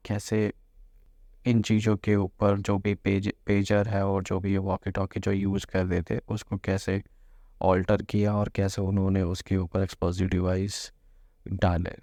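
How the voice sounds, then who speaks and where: A man explains the news in an informal way. His voice sits low with a strong gravelly creak and stays fairly even in tone. He drops English terms into his commentary as he goes. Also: measured pace, dry recording, clean studio sound. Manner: mixes Hindi with English terms.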